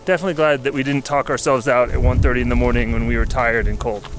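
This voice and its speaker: A relaxed man in his thirties talks to a handheld camera on an outdoor mountain summit, upbeat and easygoing. His voice sits at an ordinary pitch. Clear background music plays under him. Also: fast talker, low-quality recording. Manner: upbeat, easygoing, laughs often. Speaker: travel vlogger. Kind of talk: casual travel vlog chat. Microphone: handheld camera at arm's length.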